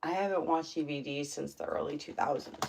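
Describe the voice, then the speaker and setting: Low-voiced chatty woman streaming. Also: brisk, vocal fry, a little mellow, husky. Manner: rambling, chatty, many fillers. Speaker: livestream host. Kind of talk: casual live stream chat. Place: home room.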